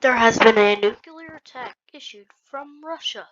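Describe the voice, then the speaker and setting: A woman improvising a mock emergency news broadcast as the announcer, with a halting delivery, on a dull home recording. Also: a little high, a crowd in the background.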